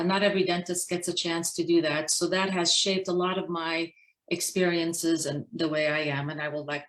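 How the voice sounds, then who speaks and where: Middle-aged female guest speaker, lowish voice, measured and explanatory, prepared-talk delivery. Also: low-quality recording. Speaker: guest speaker, Navy dentist.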